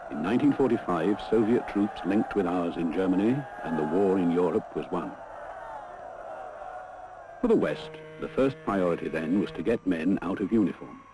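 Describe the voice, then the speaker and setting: A low-pitched British man narrates a documentary film from a prepared script. The recording is an old film soundtrack with clearly audible noise around the voice. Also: lively intonation, normal speed.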